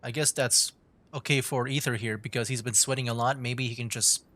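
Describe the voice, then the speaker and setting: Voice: medium pitch, fairly even intonation, a little fast, fairly bright tone. A streamer giving casual gameplay commentary in a chatty way, reacting aloud while playing. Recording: music behind.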